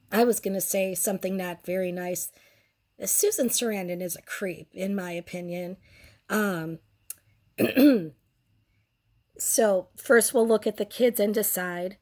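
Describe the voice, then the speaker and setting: A woman's voice: mid-range voice, darkish tone. A young woman with a cold and a nearly lost voice, hosting a casual commentary show in a crude, sardonic way. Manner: crude, sardonic, slow and drawn out. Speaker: host.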